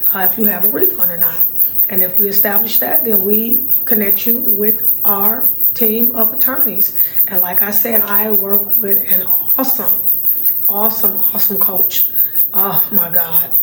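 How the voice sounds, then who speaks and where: A middle-aged woman with a raspy voice, hosting a casual vlog. She talks in a chatty way, repeats words for emphasis and laughs often. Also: mid-range voice. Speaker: channel host, surplus funds recovery business owner. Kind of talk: casual talking-to-camera vlog. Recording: roomy echo with faint room noise.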